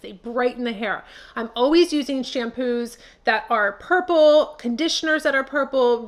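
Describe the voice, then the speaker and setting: Upbeat woman host with a higher voice. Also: fairly bright tone. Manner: emphatic, encouraging, repeats key words. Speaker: beauty channel host. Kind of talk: talking to camera, giving tips.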